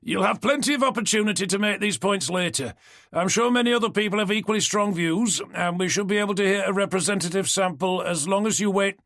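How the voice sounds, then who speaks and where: A man's voice: fast, a bit bright, no room sound, studio quality. A man voicing a male debate moderator who firmly asks an unruly crowd to settle. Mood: appealing. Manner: firm, placating, booming. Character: male debate moderator, booming, trying to keep order.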